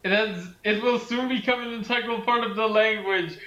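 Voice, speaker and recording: A man's voice: high-pitched, steady tone, normal speed, pushed and bright. A man making casual, joking comments as a co-commentator on a dull, roomy recording.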